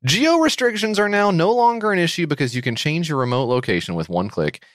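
An American man co-hosting a comedy podcast, sarcastic and jokey, reading an ad in mock-excited bursts. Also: fairly high pitch, fairly quick, dry recording, studio quality. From United States. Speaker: podcast co-host. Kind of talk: comedic podcast banter and read-out ad.

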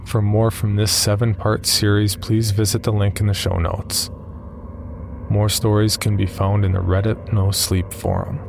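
A man with a gravelly voice reading scripted horror narration. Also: lowish voice, level tone, normal speed, background noise.

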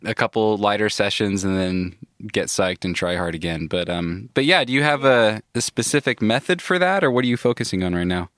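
A man's voice: on the low side, brisk, hardly any pauses, no echo, studio quality. Stuffy-nosed man hosting a climbing podcast. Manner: relaxed, laughs often, trailing restarts. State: stuffy from a head cold. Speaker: podcast host. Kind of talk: casual interview chat.

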